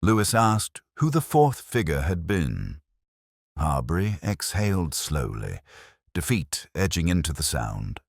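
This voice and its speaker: A man narrating a scripted first-person detective story in a measured, solemn, literary manner. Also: sits low, big pitch swings, vocal fry, no echo, clean studio sound.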